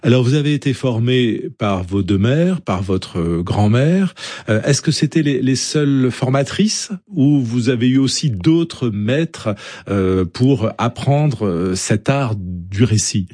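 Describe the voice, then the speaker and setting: A man's voice: medium pitch, expressive, takes it slowly, mellow, no room sound, studio quality. A man working as an interviewer, putting questions in a conversational way.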